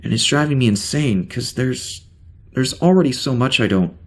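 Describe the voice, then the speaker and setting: Halting, bewildered spaceship officer, acted by a man. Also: medium pitch, lively intonation, natural voice. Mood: uneasy, frustrated. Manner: halting, stammering delivery. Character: bewildered, weary male spaceship security officer with amnesia. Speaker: voice actor in a podcast audio drama. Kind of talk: audio drama log monologue.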